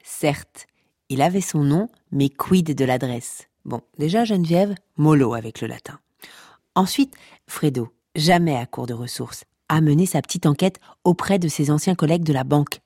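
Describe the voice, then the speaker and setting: An actress playing a sardonic, teasing storyteller who narrates comic podcast fiction and scolds listeners with deadpan wit. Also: female voice, low voice, moderate pace, husky, no room sound, clean studio sound, exaggerated voice. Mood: wry.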